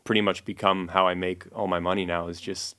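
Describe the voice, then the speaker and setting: A man, a guest musician and producer, telling stories in a relaxed, casual interview chat with many fillers. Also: low-pitched, a little fast.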